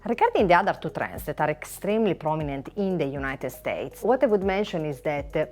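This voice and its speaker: Low-voiced Italian woman lawyer. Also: animated, a little mellow, faint music behind. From Italy. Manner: measured, listing items with frequent "uh" pauses. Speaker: finance lawyer. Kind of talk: panel interview answer.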